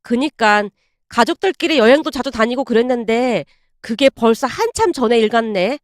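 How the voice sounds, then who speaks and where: A bashful young woman with a high voice, acted by a female narrator. She is courteous and considerate, speaking in a storytelling drama. The sound is dry. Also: animated, moderate pace, dry recording, natural voice. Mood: shy, polite.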